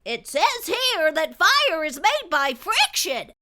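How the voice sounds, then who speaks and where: Storybook role: clever, excitable pumpkin kid played by a woman, very high, slow and deliberate delivery. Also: exaggerated voice. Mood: eager, explaining. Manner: exclaiming, explaining eagerly. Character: excited, clever little pumpkin boy who loves science.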